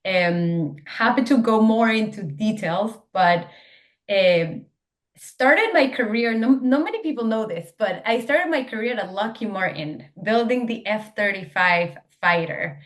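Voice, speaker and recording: A woman's voice: mid-range voice, animated, unhurried. A young woman from Venezuela, a startup founder, answering interview questions in an eager, talkative way with many fillers and restarts. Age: young adult.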